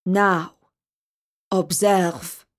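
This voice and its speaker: Storybook rabbi, a firm and cautious man, solemn formal phrasing, slow delivery played by a female narrator. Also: high voice, level tone. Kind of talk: storybook character voice.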